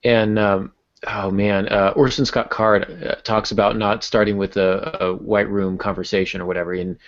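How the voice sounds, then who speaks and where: Male podcast host, low register, casual conversational delivery, slightly muffled lo-fi audio. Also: little pitch movement, normal speed.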